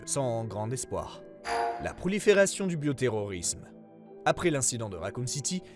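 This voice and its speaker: A man playing a government investigator who reads out a formal case report in a serious, measured way, as scripted narration. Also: mid-range voice, natural voice.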